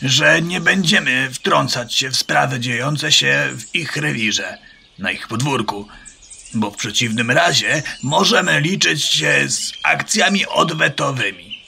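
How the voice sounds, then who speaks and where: An actor playing an anxious, loyal male farmyard bird in a children's radio play, earnest and cautious, with a gravelly, strained voice. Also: medium pitch, lively intonation, a little slow, pushed and bright, slight background noise, exaggerated voice. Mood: worried.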